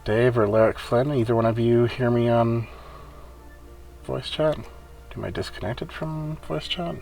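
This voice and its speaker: A man's voice: medium pitch, a little slow, gravelly, darkish tone, faint music behind. A man giving casual gameplay commentary, muttering his way step by step as he thinks aloud on a noisy home recording. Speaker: gamer hosting a server event.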